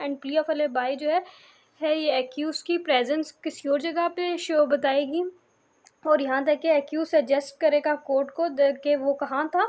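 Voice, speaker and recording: A woman with a Pakistani accent lecturing like a teacher, reading English phrases slowly and deliberately, explaining in between and often adding a short check phrase. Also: sits high, a little mellow. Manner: repeats a check phrase after points. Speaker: law lecturer.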